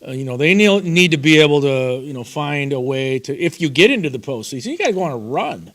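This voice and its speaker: Man, sports talk co-host, slightly high voice, folksy opinionated banter. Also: very animated, fast. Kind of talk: casual sports chat.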